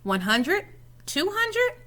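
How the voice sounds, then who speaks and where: A woman reading a children's story aloud in an expressive, animated way, dramatizing it as she goes. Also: extremely high pitch, drawn-out words.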